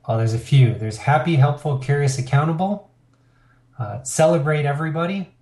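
A man's voice: on the high side, normal speed, darkish tone. Candid middle-aged man, roomy sound. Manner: candid, reflective, rambling with fillers. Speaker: interview guest, business owner. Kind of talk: casual interview talk. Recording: roomy echo.

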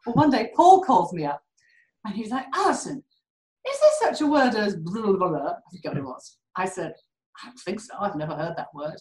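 A British woman telling candid stories in an interview, animated and quick to laugh. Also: mid-range voice, roomy sound, low-quality recording. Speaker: core facility director. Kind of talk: candid conversational storytelling.

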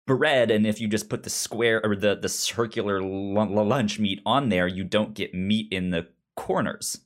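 A man's voice: fairly low pitch, normal speed. A man co-hosting a casual chat show, with a stutter that repeats syllables and sounds as he talks. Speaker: podcast co-host.

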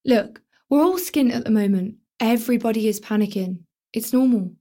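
A woman's voice: on the high side, moderate pace, dark tone, close to an everyday voice. A woman with a British accent playing a brash, easily distracted teenage girl in a naturalistic radio play, blunt and colloquial. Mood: casual, flippant.

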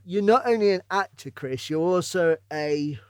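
A man's voice: high voice, expressive, normal speed, clean tone, no echo, clean studio sound. A man hosting a casual podcast interview, informal and ready with his own opinions.

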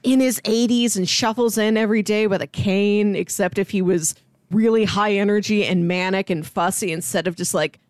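A woman's voice: medium pitch, steady tone, normal speed, dry recording, studio quality. A woman co-hosting a film discussion, talking casually and conversationally. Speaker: podcast co-host. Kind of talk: casual, animated chat about a film.

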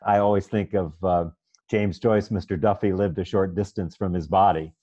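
A man hosting an interview, asking thoughtful, drawn-out questions with a soft laugh now and then. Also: low-pitched, steady tone. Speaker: host. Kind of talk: conversational interview. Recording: dull lo-fi audio.

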